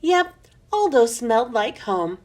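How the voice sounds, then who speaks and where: A woman narrates a children's story in a high voice. Her pitch rises and falls widely, and she leans on and draws out key words for young listeners. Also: big pitch swings, unhurried, a little mellow. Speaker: children's book reader. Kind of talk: expressive scripted storybook narration.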